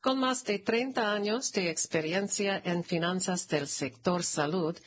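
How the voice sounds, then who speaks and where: A woman reading a scripted news announcement aloud in a formal, measured manner. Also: lowish voice, husky. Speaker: narrator reading a press release.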